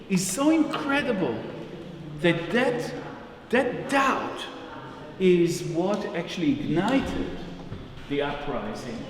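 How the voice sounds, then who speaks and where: A man with an Israeli accent giving an unscripted public talk as an exhibition guide, phrasing his thoughts deliberately and rewording as he goes. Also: on the high side. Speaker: research agency director giving a narrated exhibition tour. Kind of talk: public talk, spoken off the cuff. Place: large echoing gallery space. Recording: echoey reverberant hall with audience sounds.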